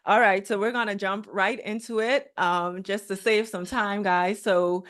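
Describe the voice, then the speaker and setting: A woman's voice: medium pitch, steady tone, unhurried, fairly bright tone. A woman from the Bahamas with a Bahamian accent, a guest speaking earnestly and steadily with many ums on a video call. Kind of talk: online training call.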